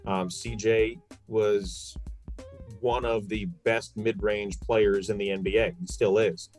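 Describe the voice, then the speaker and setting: A man's voice: on the low side, little pitch movement, slow, a little mellow, soft background music. A man talking basketball as a sports commentator, giving opinions emphatically and repeating phrases for stress. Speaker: sports commentator, podcast guest.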